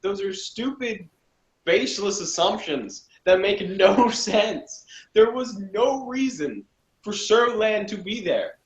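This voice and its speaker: A man's voice: high-pitched, lively intonation, takes it slowly. A man chatting casually as a reviewer, giving blunt, informal opinions. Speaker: podcast reviewer. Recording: dull, roomy, distant mic.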